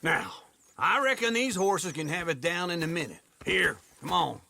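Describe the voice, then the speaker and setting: An actor voicing a crusty, talkative old cowboy in a western video game, cantankerous and folksy, with an American Southern accent. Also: male voice, on the high side, lively intonation, normal speed, vocal fry, bright, forceful tone, heavily acted. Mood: eager, bossy. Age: older.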